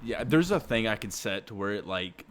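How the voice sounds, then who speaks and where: A young man with a deep voice co-hosting a podcast in casual chat, loud and excitable, jumping between shouting and low mumbling. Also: moderate pace, noisy background. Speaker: podcast co-host. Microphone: desk USB microphone.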